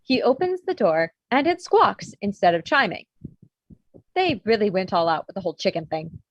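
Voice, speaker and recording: A woman's voice: mid-range voice, sing-song, moderate pace, dull audio. A woman reading screenplay stage directions as scripted narration for a table read. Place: indoors, remote virtual table read.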